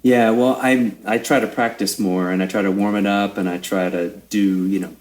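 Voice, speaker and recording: Low-voiced middle-aged man, musing. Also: steady tone, normal speed, a little mellow, a little hiss or hum. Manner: thoughtful, meandering, trails off often. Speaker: guest musician. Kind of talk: casual conversation.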